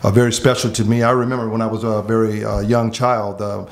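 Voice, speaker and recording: Earnest halting male pastor. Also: fairly low pitch, flat delivery, gravelly. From Miami, Florida. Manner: halting, many fillers and repeated words. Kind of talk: reflective personal storytelling in conversation.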